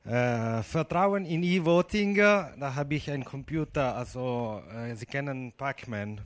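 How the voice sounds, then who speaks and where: A man who is not a native German speaker, giving a humorous talk at an event, halting with many fillers. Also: medium pitch.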